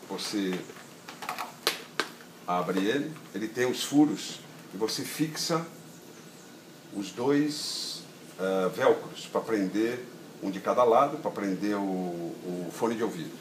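A middle-aged Brazilian man with a fairly low voice talks through a practical demonstration. He goes step by step in an informal, halting way, repeating words and checking that he is followed. The sound is echoey, with faint ambient noise. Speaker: product demonstrator. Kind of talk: informal hands-on tutorial, explaining step by step. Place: outdoor patio table in a garden. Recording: roomy echo, steady ambient noise.

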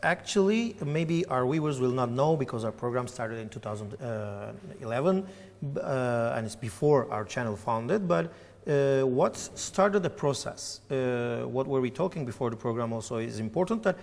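A man's voice: medium pitch, lively intonation, moderate pace, vocal fry, darkish tone, long reverb tail. Hesitant male host. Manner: hesitant, many fillers and restarts. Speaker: TV interview host. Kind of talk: studio interview. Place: TV studio. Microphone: lapel.

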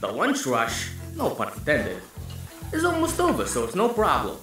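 A man's voice: medium pitch, huge pitch swings, normal speed, over music. A man voicing a friendly, obliging, busy school cook in an amateur anime comic dub, in a roomy recording. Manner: easygoing, obliging. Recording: roomy, echoing voice.

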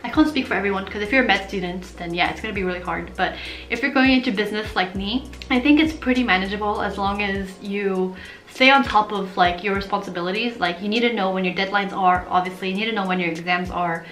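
A young woman from Portugal, talking casually to camera in an animated, chatty vlog style while gesturing with her hands. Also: mid-range voice, quick pace, echoey room. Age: young adult. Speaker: vlogger.

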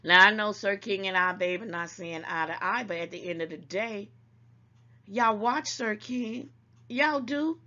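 A woman's voice: medium pitch, a little slow, pushed and bright, lo-fi sound. An older woman hosting an online talk show, scolding and preaching to her viewers and calling them baby, at times a little congested. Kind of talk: casual commentary to viewers.

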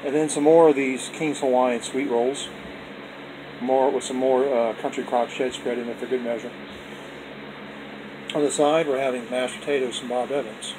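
A man's voice: mid-range voice, steady tone, normal speed, pauses often. Folksy man blessing viewers over home noise. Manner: folksy, repetitive, with religious blessings. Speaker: vlog host showing his dinner. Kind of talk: casual off-camera food vlog. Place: indoors at home, dining table.

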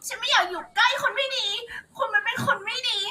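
A very high, lively voice from a woman acting as a frightened young captive in an overwrought soap melodrama, crying out and pleading. Loud noise fills the background of the recording. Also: normal speed. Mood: tearful.

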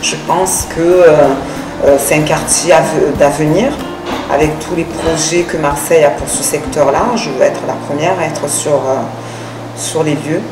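A woman in her thirties explaining her project in a conversational interview, pausing often between short phrases. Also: sits low, fairly even intonation, over music. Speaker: restaurant owner. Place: restaurant terrace, dining room and working kitchen. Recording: distant, echoey, loud background noise.

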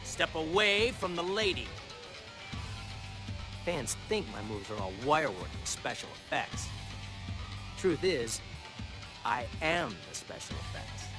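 An actor playing a cocky young American movie star in a game, flippant and swaggering. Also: male voice, fairly high pitch. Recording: music behind the voice.

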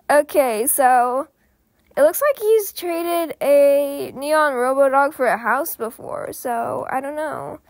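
High-pitched, stammering young girl gamer. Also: expressive, slow pace, dry recording, clean studio sound. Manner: hesitant, stammering, repeating words. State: sick, voice sounds off. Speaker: gaming channel host. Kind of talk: casual gameplay commentary.

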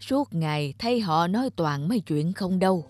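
A woman's voice: lowish voice, animated, moderate pace, a little mellow, no room sound, studio quality, soft background music. A woman voicing a grumpy, aloof middle-aged male neighbour in a cartoon, grumbling and muttering to himself. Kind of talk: cartoon voice acting.

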